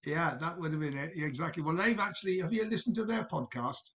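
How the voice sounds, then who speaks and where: A man hosting a casual interview, chatting in a halting, teasing way as he puts questions to his guests. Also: on the high side, fairly bright tone, muffled recording. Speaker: podcast host, university staff interviewing former students.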